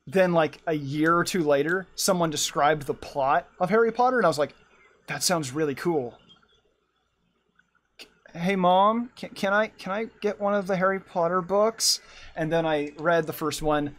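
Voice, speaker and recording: A young man in his twenties chatting and rambling on a live art stream as he draws, laughing often. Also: fairly high pitch. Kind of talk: casual chat while drawing.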